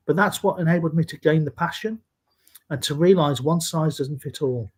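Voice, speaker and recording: A man in his sixties from Scotland, speaking in a measured, earnest way as a guest in a live video-call interview. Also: fairly high pitch, slightly rough. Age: 60s. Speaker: interview guest, safety leader.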